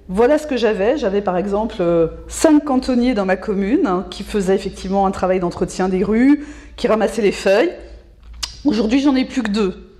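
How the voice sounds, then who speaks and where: Middle-aged woman, mellow voice. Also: mid-range voice. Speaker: local government specialist being interviewed. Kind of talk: interview answer, explanatory. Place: small indoor office.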